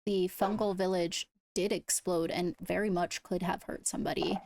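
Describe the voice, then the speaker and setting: A woman speaking in improvised roleplay, leading a meeting in a measured, deliberative way. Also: on the low side, fairly even intonation, creaky, a little mellow, no room sound. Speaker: role-player leading a court meeting. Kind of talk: improvised in-character roleplay talk.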